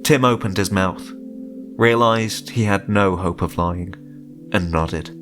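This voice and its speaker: A man's voice: deep voice, slow, fairly bright tone. A man reading a scripted horror story as narrator, in a dramatic storytelling style. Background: music.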